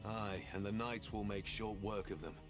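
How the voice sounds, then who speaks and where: A man acts out a gruff, loyal monster hunter from a fantasy game. His voice sits low, with a weary, caring temper. Prominent music plays underneath. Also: little pitch movement, moderate pace, natural voice. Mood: watchful. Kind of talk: video game voice acting.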